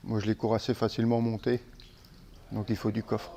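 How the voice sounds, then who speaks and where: A middle-aged man from Normandy, a horse trainer, speaking in a relaxed, halting way with restarts in a casual interview. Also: fairly low pitch, slight background noise. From Manche, Normandy, France.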